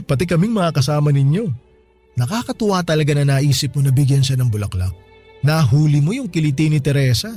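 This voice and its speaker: Friendly filipino boss in a radio drama, bit husky, chatty, good humored, faint music behind. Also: male voice, fairly high pitch, animated, brisk, a little mellow. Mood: warm, chatty. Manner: chatty, chuckling between remarks. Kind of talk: Tagalog radio drama acting, conversational.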